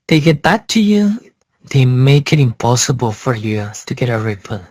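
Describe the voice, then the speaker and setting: Slow, dry-sounding man warning as reviewer. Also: on the high side, dry recording. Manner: blunt, emphatic warnings. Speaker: software reviewer. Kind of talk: casual spoken review.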